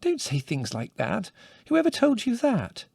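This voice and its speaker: A prim, flustered middle-aged mother who scolds and fusses in a raised, lively voice, as a male narrator puts her on. The audiobook sound is dry and close. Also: a little high, moderate pace, gravelly, slightly rough, dry recording, voice pushed up, full character voice. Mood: flustered scolding.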